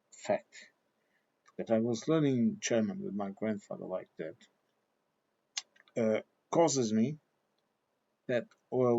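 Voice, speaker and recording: Slow-talking Portuguese man, dull lo-fi sound. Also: medium pitch, a little mellow, husky. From southern Portugal. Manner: halting, digressive, checks in with "okay?". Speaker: vlogger giving personal commentary. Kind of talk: casual monologue.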